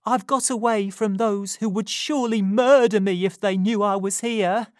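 A woman's voice: mid-range voice, steady tone, moderate pace, no room sound, studio quality, pitched above the performer's own voice, natural voice. A woman voicing a frightened young woman who speaks in an urgent, pressing way in a storybook reading.

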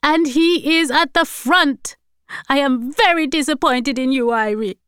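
A female narrator performs a devout storybook grandmother in her eighties, high and slow in delivery. She preaches solemnly and emphatically, in a clean, dry recording. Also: lively intonation, clean tone, no room sound. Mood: outraged. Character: devout, upset 85-year-old grandmother, warning dramatically.

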